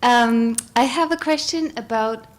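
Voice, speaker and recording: A woman's voice: on the high side, unhurried. A woman in a conference audience asking a friendly, searching question, with restarts as she works out her point. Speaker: audience member.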